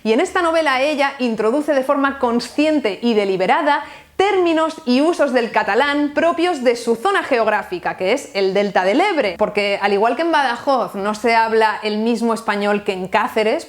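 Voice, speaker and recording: A woman's voice: fairly high pitch, moderate pace. A young woman from Valencia with a Valencian Spanish accent, hosting a scripted literature video with wry, ironic asides. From Valencia, Spain. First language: bilingual Valencian and Spanish. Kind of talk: scripted explanatory video essay.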